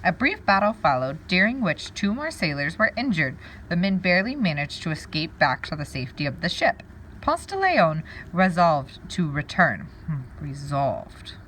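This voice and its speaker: A woman teacher doing a read-aloud lesson, pausing to wonder aloud and explain words to her pupils. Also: fairly low pitch, very animated, fairly bright tone, a little hiss or hum, poor audio.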